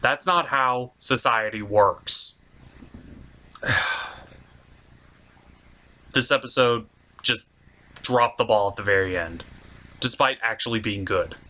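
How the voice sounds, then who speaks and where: A man's voice: on the low side, takes it slowly, long pauses, pushed and bright. A man delivering a ranting, profane review as an online host, exasperated and emphatic.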